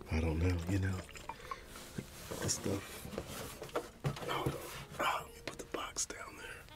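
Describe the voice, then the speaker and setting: A middle-aged man doing an ASMR roleplay, whispering and soft-spoken, reminiscing unhurriedly with quiet chuckles. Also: sits low. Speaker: ASMR creator. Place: dim indoor room. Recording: soft music in the background. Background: music.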